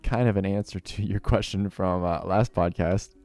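A young man hosting a casual podcast with a very low, dark voice. He talks in a relaxed, laid-back way and often repeats his words. Faint music can be heard behind him. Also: normal speed. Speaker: podcast host.

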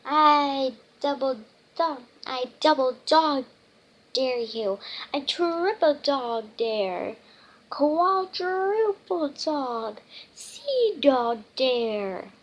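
Young reader as a high-voiced, plucky sailor. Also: drawn-out words, a bit bright, heavily acted. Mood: defiant. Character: plucky, dramatic little storybook sailor, male.

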